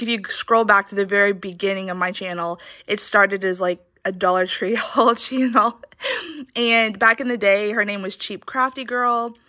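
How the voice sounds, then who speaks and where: A woman's voice: medium pitch, pushed and bright. Chatty congested woman. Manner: chatty, rambling, frequent "um" and "like", laughs often. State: congested, stuffy nose. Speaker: reseller vlogger. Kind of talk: casual haul chat. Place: living room floor, indoors.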